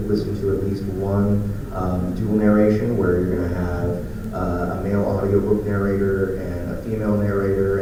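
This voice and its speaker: A man's voice: fairly low pitch, background noise. A man giving an informal conference presentation as an audio producer, conversational with joking asides, recorded from a distance in a large echoey room.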